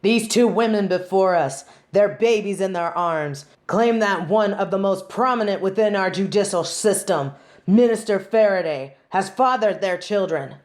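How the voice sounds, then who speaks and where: A woman's voice: medium pitch, normal speed, darkish tone, natural voice. A woman playing a haughty, commanding angel judge in a scripted audio roleplay, speaking in a pompous, formal, theatrical manner. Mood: formal, imperious.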